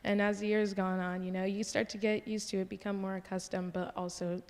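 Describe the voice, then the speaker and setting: A woman's voice: mid-range voice, flat delivery, a little fast, a little mellow. A woman who is a student musician on a panel, talking casually with frequent giggles. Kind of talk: live panel discussion. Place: large hall. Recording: long hall reverb from a live panel.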